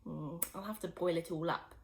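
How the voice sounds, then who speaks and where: Woman voicing a scheming young boy, gleeful and low-voiced asides. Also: steady tone, moderate pace, vocal fry. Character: mischievous boy scheming at grandma.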